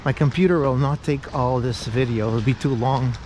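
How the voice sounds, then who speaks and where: A man's voice: mid-range voice, moderate pace. A middle-aged man, rambling and joking self-mockingly while vlogging as he walks down a rainy city street. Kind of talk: rambling walking vlog. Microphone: action camera held at arm's length. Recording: street and traffic noise.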